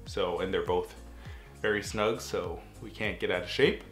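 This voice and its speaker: A man's voice: low voice, moderate pace, soft background music. Young man, a little nasal, roomy echo. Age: young adult. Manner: relaxed, many ums and restarts. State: getting a cold. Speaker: vlogger. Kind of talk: casual chat to camera. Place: home living room, indoors.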